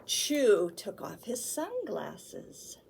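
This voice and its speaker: A woman reads and chats as a children's storytime host, with simple, deliberate phrasing. Her pace is slow and her voice has a little creak in it. There is faint noise behind her. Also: medium pitch, huge pitch swings, darkish tone. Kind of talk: picture-book read-aloud for small children.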